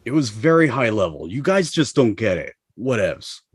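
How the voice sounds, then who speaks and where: A man's voice: mid-range voice, sing-song, normal speed, husky, dry recording, clean studio sound. A man playing a cocky, easily frustrated finance-bro ghost in a table read. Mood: exasperated.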